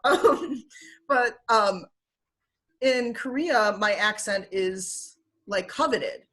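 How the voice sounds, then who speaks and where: A woman's voice: mid-range voice, drawn-out words, fairly bright tone. A young woman with a Michigan accent, talking casually as an interview guest over a video call, with lots of fillers and easy laughter. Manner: chatty with frequent fillers, laughs often.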